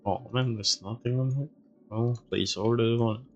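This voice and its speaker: A man's voice: medium pitch, steady tone, measured pace, a little mellow, muffled recording, soft background music. A man giving casual game stream commentary, muttering to himself in short fragments.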